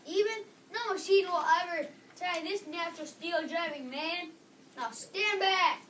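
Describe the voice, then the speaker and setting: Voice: very high-pitched, unhurried, full character voice. A child playing a proud, determined steel-driving man in a school reader's theater, reading aloud. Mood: bold, forceful. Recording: distant microphone, loud background noise.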